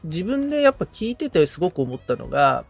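Higher-pitched, creaky man in casual chat. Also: big pitch swings, fast talker, faint music behind. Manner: informal, thinking aloud. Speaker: podcast assistant, guitarist receiving advice.

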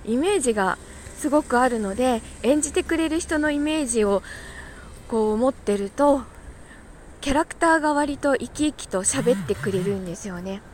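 A woman chatting casually on her own like a morning podcast host, talkative and quick to laugh. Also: fairly high pitch, lively intonation, a little hiss or hum. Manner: chatty, laughs easily while talking. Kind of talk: casual solo morning chat.

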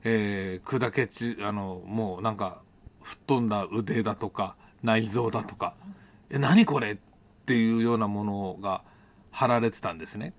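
A middle-aged man from Japan hosts a solo storytelling monologue, reflective and unscripted, pausing to think aloud and correct himself. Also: lowish voice, no echo. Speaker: host telling personal stories on an audio show.

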